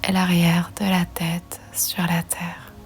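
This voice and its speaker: Female meditation guide, hushed and breathy, very even low-set delivery, gentle and soothing. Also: slow pace, faint music behind. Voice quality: soft, breathy, half-whispered. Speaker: yoga nidra guide.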